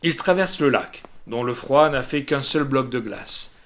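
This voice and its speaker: A man narrating a literary short story in a dramatic, expressive manner. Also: mid-range voice, measured pace. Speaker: audiobook narrator.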